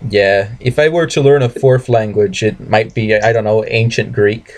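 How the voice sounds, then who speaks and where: A man whose first language is German, co-hosting a casual podcast, mostly giving short, unhurried agreeing replies. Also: on the low side, steady tone, a little mellow. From United States. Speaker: podcast co-host. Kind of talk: casual chat.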